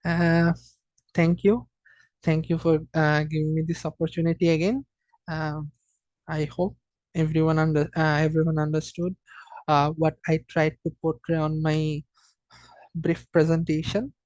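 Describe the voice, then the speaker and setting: Halting student presenter, flat and slow. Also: mid-range voice, no echo, dull audio. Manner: halting, hesitant, often restarting phrases. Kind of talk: informal academic presentation of data slides.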